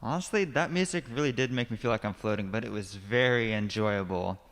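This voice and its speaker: A man's voice: lowish voice, brisk, a bit bright. A young man playing an upbeat, earnest co-host of a band show in a radio play, with enthusiastic announcer patter. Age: young adult. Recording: echoey hall, faint crowd and noise.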